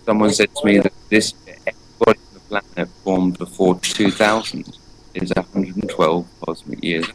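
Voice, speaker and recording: A man's voice: low voice, steady tone, normal speed, noisy background. Earnest rambling man in his forties. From England. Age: 40s. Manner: earnest, rambling, many fillers and restarts. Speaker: guest, energy painter. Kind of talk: casual spoken interview.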